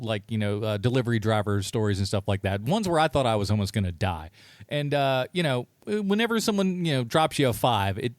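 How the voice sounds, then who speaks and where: American podcast guy, really animated, joking around and doing little quote bits, dry close sound. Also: mid-range voice, dry recording. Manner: animated storyteller who acts out quoted lines. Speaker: podcast co-host. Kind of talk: casual comedic chat.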